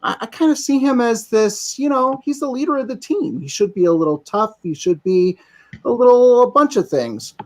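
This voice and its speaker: A man's voice: very high voice, lively intonation, moderate pace, darkish tone. A man in his fifties, a voice actor guest, talking in an easygoing, self-deprecating, rambling way. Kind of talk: casual podcast interview.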